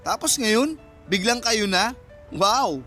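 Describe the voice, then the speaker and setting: An actor playing a dramatic, easily offended young best friend in a radio romance, questioning with exaggerated indignation. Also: male voice, high voice, animated, slow pace, bright, forceful tone, faint music behind, exaggerated voice. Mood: shocked, sulky.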